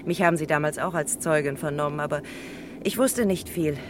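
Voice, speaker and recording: An actress playing a gossipy, confiding former housemaid in a German crime radio play. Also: female voice, fairly quick, natural voice. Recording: clear vehicle rumble behind voice. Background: vehicle noise.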